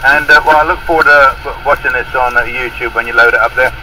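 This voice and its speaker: A man's voice: medium pitch, steady tone, quick pace. A man in a casual chat, calling in over a two-way radio. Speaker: amateur radio caller. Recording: very noisy, distant two-way radio transmission.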